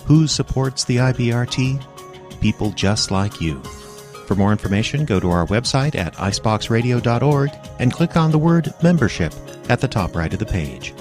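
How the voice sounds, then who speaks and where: A man's voice: mid-range voice, animated, normal speed, a little mellow. A man reading a scripted promotional announcement. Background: faint music.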